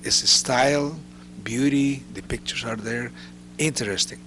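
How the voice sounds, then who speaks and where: A man's voice: mid-range voice, a little slow, pushed and bright, a little hiss or hum. A man hosting a studio interview, speaking with effusive praise. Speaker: interview host. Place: recording studio.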